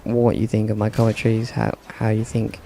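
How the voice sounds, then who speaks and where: A man giving casual, monotone commentary over his own gameplay, with dry humour and many hesitations. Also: lowish voice, fairly quick, creaky, a little hiss or hum, poor audio. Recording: game gunfire in the background.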